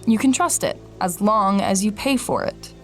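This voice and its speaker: A woman's voice: medium pitch, moderate pace, faint music behind, natural voice. A woman playing an anxious, suspicious traveller in an audio drama, hesitant and introspective as she acts and narrates. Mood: uneasy wonder. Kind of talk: audio drama acting with first-person narration.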